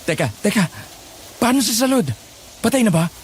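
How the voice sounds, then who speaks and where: A man's voice: sits high, animated, moderate pace, a little hiss or hum. A man playing a cocky, fearless young monster slayer in a horror audio drama, speaking with boastful swagger. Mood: urgent.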